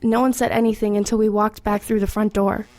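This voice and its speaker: A woman's voice: mid-range voice, level tone, fairly quick, a little mellow, close to an everyday voice. A woman playing an anxious, defiant teenage girl in an audio horror drama. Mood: uneasy narrating. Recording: background noise and music under voice.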